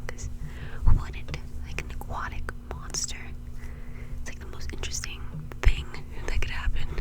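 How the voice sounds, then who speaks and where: A woman telling a story in a soft, breathy ASMR whisper, in a casual, chatty way. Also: poor audio. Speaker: ASMR video host.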